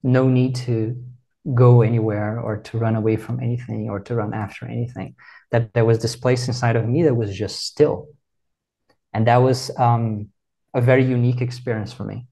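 Calm man teaching meditation. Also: mid-range voice, creaky, poor audio. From Brazil. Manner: measured, reflective, teacherly. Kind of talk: calm explanatory interview answers. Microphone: video call.

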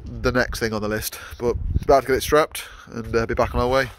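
A man's voice: medium pitch, steady tone, fairly quick, fairly bright tone, a little hiss or hum. A young man vlogging casually from his workshop yard, rambling with many fillers and restarts. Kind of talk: casual vlog narration.

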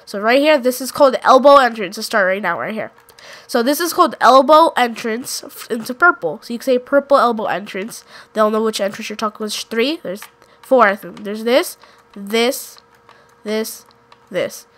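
A woman's voice: a little high, lively intonation, normal speed, fairly bright tone. Woman, gaming commentator, nasal cold voice, loose and self-correcting. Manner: rambling, self-correcting, unsure. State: congested from a cold. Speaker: gaming YouTuber. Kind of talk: casual gameplay commentary.

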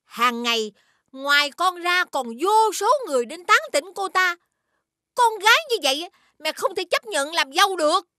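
Strict cartoon mother, very high, forceful, scolding delivery. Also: animated, normal speed, no echo, studio quality, full character voice. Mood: alarmed, disapproving. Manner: scolding, exclamatory. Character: strict, disapproving mother of a rich young man. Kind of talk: animated fairy-tale dubbing.

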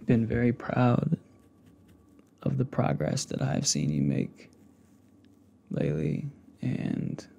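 A young man speaking softly and hesitantly close to the mic in a casual, intimate ASMR roleplay. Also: medium pitch, big pitch swings, lo-fi sound. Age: young adult. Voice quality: gravelly, breathy. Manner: hesitant, halting, soft-spoken. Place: indoors, small home setup with fabric backdrop. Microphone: desktop condenser mic with pop filter, close up.

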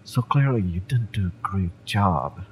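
A male actor plays a taunting cyberpunk mercenary in an intimate audio roleplay, with a deep voice that swings expressively. He mocks the listener in a menacing drawl full of dramatic pauses, over a faint rumbling noise. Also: lively intonation. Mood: mocking. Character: fiery, taunting male mercenary, spurned former bodyguard.

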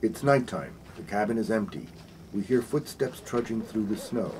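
A man's voice: fairly low pitch, lively intonation, normal speed, a little mellow, a little hiss or hum, lightly acted, poor audio. A man acting as the announcer of a comedic radio play, narrating scripted stage directions. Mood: announcing.

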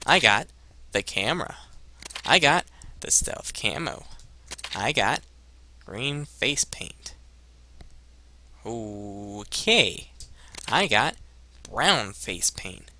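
A man's voice: medium pitch, big pitch swings, extremely slow, pauses often, bright, forceful tone. A man in his twenties giving casual let's play commentary and reading game screens aloud on a headset mic. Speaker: gaming video host.

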